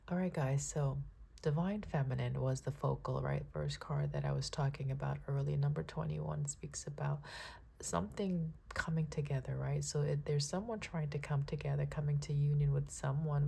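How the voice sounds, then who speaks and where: Raspy low-voiced woman reading tarot. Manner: calm and unhurried, checks in with listeners often; soft-spoken, prayerful. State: raspy, froggy early-morning voice. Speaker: tarot reader. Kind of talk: spiritual tarot reading with spoken prayers.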